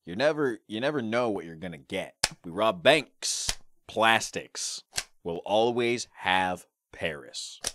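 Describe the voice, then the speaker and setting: A young man performing classic movie characters in short, deadpan, theatrical one-liners. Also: on the low side, slow. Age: young adult. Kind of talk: amateur impressions of film quotes. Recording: clearly noisy background with clicks.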